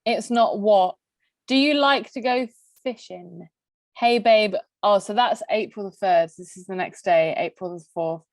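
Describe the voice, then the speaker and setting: A woman co-host with Greek as her first language, from a half Greek and half English background, talks bluntly and laughs often. Her voice sits at an ordinary pitch and sounds close and dry, without any room around it. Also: a little slow, dry recording. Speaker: podcast co-host. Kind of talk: casual chat reading out messages.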